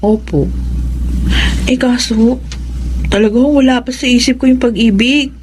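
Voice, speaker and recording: A woman plays a dutiful young working woman in a Filipino radio drama. Her voice is a little high, patient and modest, over faint ambient noise. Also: a little slow, a little mellow, natural voice. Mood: shy, polite. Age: young adult.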